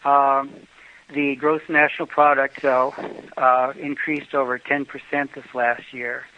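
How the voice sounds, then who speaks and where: A man's voice: medium pitch, flat delivery, a little slow, no room sound. An older American man telling travel stories in a casual interview, rambling with many fillers and restarts. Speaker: travel guest. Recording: dull lo-fi podcast audio.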